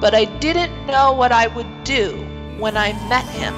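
A woman playing a determined, dramatic heroine in a comic horror audio drama, speaking deliberately. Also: a little high, over music, lightly acted, low-quality recording. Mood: brooding narration.

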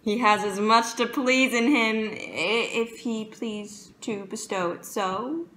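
A woman's voice: a little high, takes it slowly, fairly bright tone. A woman playing a lovesick, impulsive young jailer's daughter in a theatrical, emphatic Shakespearean stage monologue. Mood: smitten, yearning. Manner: theatrical, emphatic, with dramatic swells. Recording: roomy echo with faint background noise.

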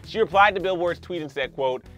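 A man's voice: sits high, a little fast, a bit bright. A young American man presenting scripted celebrity news as a host, with punchy, emphatic delivery. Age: young adult. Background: faint music.

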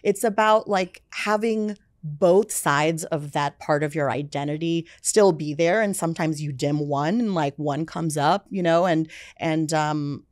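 A woman in her forties talks in a low, relaxed register, loose and conversational, with fillers scattered through her thoughts. She sits in a dark studio, close to a boom-mounted podcast mic, with a dry, dead sound. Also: unhurried, hardly any pauses, no echo. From United States. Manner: chatty, many fillers like "you know" and "like". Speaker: film music executive, guest. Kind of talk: casual interview chat. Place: dark studio set, seated in armchair. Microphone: podcast mic on boom arm.

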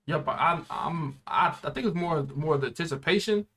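A man's voice: a little high, normal speed, sharp tone. A young American man chatting casually and in slang as an anime reaction host, seated in a small room. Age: young adult. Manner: slangy, informal.

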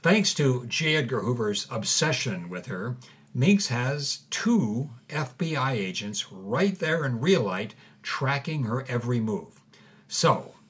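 A man with a husky, mid-pitched voice hosts a scripted podcast. He narrates with dramatic emphasis, leaning into the old documents he reads aloud. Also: big pitch swings, slow pace, a bit bright. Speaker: host.

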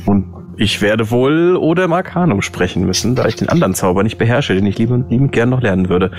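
A man's voice: mid-range voice, lively intonation, fast talker, darkish tone. A man playing a tabletop role-playing game, switching between casual chat and narrating his character's actions. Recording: faint music behind the voice. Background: faint music.

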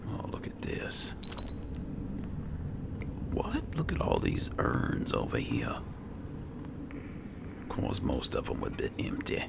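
Male gaming host, heavy fry in the voice, musing casual commentary with animated pitch, noisy game ambience behind. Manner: musing, talks to himself and companions. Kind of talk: casual first-person gameplay commentary, roleplaying.

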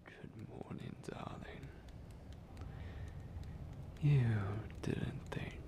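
A man playing a possessive, hungry vampire lord in an intimate ASMR roleplay, breathy and commanding, speaking slowly with menace. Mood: grudgingly polite, then hungry, predatory. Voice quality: breathy, whispery, gravelly. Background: music.

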